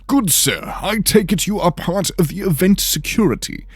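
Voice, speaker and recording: Pompous older lord, swooping pitch. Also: male voice, lively intonation, normal speed, husky, no echo, studio quality. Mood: haughty. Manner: pompous, formal. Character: pompous older nobleman, indignant and fussy. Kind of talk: dramatized fantasy audio story.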